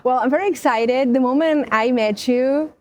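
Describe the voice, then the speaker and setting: Woman podcast host, slightly high voice, gushing and enthusiastic. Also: moderate pace. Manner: enthusiastic, effusive. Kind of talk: conversational interview.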